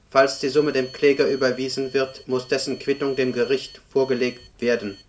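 An actor voicing an impersonal court computer, reading formal official notices in a deadpan, bureaucratic manner in a radio play. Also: male voice, medium pitch, lightly acted. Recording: clearly noisy radio play recording.

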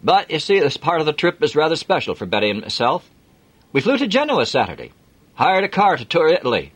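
A man voicing a jovial, chatty middle-aged businessman with a broad Yorkshire accent, booming and talkative. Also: huge pitch swings, a little fast, breathy edge, full character voice. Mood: hearty.

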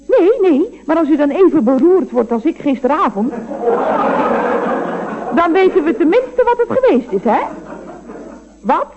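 A cheeky female secretary in a radio comedy. She is polite and sing-song, slipping in dry jabs with very lively pitch, on a dull old recording. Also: a little slow, long pauses, very noisy. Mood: mock-polite, wry. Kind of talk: Dutch radio comedy acting.

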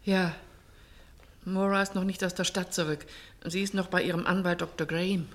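A woman's voice: fairly low pitch, steady tone, normal speed. An actress playing an older mother, composed but anxious and probing, with formal phrasing in a German radio play. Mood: weary. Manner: formal, questioning.

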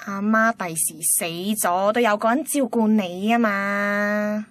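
A woman's voice: mid-range voice, steady tone, measured pace, close to an everyday voice. A woman with a Hong Kong Cantonese accent playing a doting older mother who nags and coaxes in a radio drama. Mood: nagging, coaxing. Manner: naggy, coaxing. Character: nagging, doting older mother of grown children.

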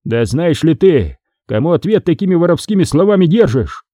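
A man's voice: a little high, very animated, moderate pace, warm tone, no echo, clean studio sound, voice pushed up. A man voicing a stern, haughty medieval prince in an audiobook, imperious and indignant. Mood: indignant command. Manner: imperious, indignant, questioning sharply. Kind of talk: dramatised historical audiobook.

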